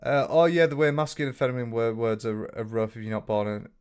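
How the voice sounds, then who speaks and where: A man's voice: mid-range voice, fairly even intonation, fast, darkish tone, clear, resonant tone. A young man with a northern English accent chatting casually on a livestream, reading out viewer comments with lots of restarts and the odd swear. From north of England. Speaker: streamer.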